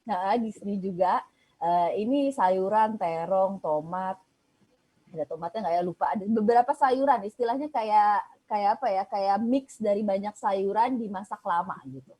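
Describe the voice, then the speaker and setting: A woman, talking casually as a guest on a video call, relaxed and explaining with pauses. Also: medium pitch, low-quality recording. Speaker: guest on a travel talk show, living in Paris. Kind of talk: casual chat.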